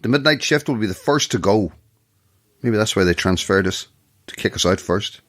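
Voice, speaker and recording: An actor with an Irish accent playing a jumpy, grumbling late-night radio host in an audio drama, sardonic and prone to mild swearing. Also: male voice, lowish voice, expressive, moderate pace, a bit bright. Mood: maudlin, grumbling. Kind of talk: naturalistic audio drama dialogue. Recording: clear background noise and ambience.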